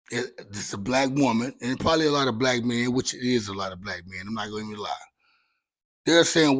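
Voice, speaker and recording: Raspy-voiced man hosting a casual chat. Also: mid-range voice, dry recording, low-quality recording. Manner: loose, candid, slangy and profane. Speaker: podcast host.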